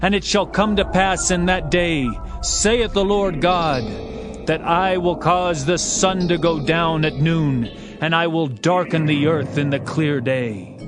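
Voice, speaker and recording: Male scripture narrator, high register, solemn and declamatory, with clear musical underscore. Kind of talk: dramatized scripture reading.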